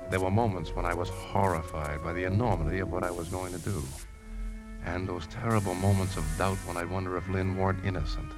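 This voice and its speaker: A man playing a brooding, secretly scheming leading man in a 1950s radio drama, speaking in a measured, confiding way. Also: low-pitched, lightly acted. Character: brooding man secretly plotting to poison a woman. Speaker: lead actor and narrator. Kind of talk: 1950s radio drama, first-person narration and dialogue. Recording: vintage 1950s radio with music.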